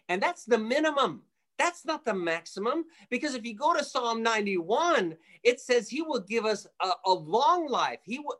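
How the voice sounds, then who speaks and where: A man's voice: high voice, a bit bright. A man from Jerusalem preaching conversationally as a radio guest, emphatic and exhortatory, driving points home with repeated phrases. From Jerusalem, of Armenian family. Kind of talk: fervent preaching in a conversational interview.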